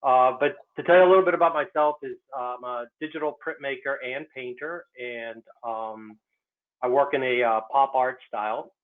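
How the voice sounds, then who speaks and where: A man's voice: medium pitch, normal speed. A man, an artist, speaking in a casual online talk, hesitant and full of fillers. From United States. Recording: tinny phone-like line.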